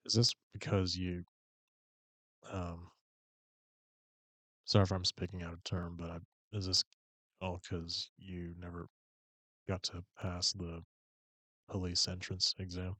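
Man as a deadpan bored coworker. Deep monotone. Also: slow pace, long pauses, dry recording, full character voice. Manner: flat, hesitant, mumbling. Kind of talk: improvised comedy scene.

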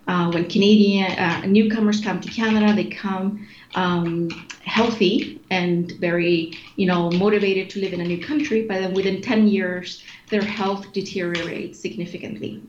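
Interview guest: woman in her forties, from Chile, thoughtful explainer, echoey dull-sounding room with faint hiss. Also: medium pitch. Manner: thoughtful, explanatory, with frequent fillers and restarts. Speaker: guest, executive director of an obesity organization. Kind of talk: casual interview answers.

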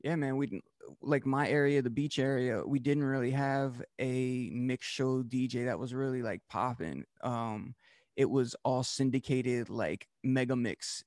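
A man's voice: medium pitch, unhurried, darkish tone, dry recording, studio quality. A man from Delaware, a DJ and podcast host, talking loosely as an interview guest, with many fillers and restarts. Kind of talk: casual interview talk.

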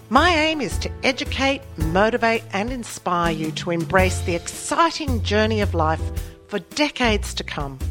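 A middle-aged woman, hosting a radio program in a studio and speaking in a bubbly, effusive way. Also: mid-range voice. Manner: bubbly and effusive, with lively emphasis. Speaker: radio host.

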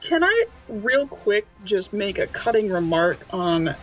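A woman's voice: mid-range voice, very animated, a little slow, faint music behind, rough recording. A woman chatting casually as a player in a tabletop roleplaying game.

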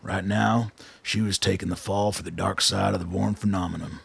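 An actor playing a blunt, shrewd old soldier, a commanding colonel with a gravelly, husky voice in a radio drama. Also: male voice, low voice, fairly even intonation, moderate pace, no echo, exaggerated voice. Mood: firm, pressing. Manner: blunt, commanding, dryly pressing.

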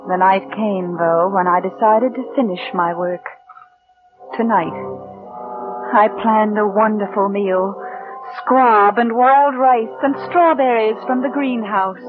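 A cold, calculating American woman played by a radio actress speaks with controlled, deliberate menace behind a meek front. Her pitch sits in a middle range. The sound is a muffled, narrow vintage radio suspense broadcast with music. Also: lightly acted. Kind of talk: 1940s-50s American radio suspense acting and narration.

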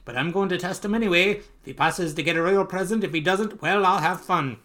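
A grumpy, rich old comic-book duck acted out by a man speaks higher and louder in a rough Scottish accent. He is theatrical and spiteful, relishing his own miserliness with cackles and grumbles. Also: brisk. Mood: gleeful scheming. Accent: attempted Scottish. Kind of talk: comic book character read aloud.

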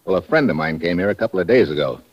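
A low, lively American voice, hard-boiled and wry, a tough undercover correspondent in a vintage radio drama. The old recording is muffled and noisy. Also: male voice, racing, breathy edge. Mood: businesslike. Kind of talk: 1950s radio adventure acting.

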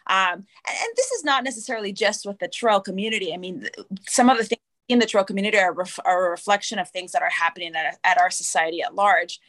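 Woman from Canada, mid pitch, thoughtful, restarts words, panel guest. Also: expressive, fast talker, a bit bright. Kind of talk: casual panel discussion.

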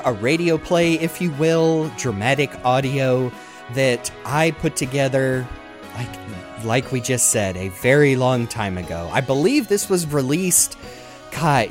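A man hosting a casual chat show, talking in an informal, jokey and rambling way. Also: mid-range voice. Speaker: podcast host.

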